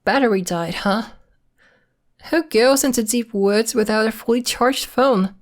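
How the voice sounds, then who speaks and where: A woman playing a secretive, polite and protective young werewolf in an ASMR roleplay, speaking in a measured, hesitant, matter-of-fact way. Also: fairly high pitch, lightly acted. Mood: concerned, mildly reproving.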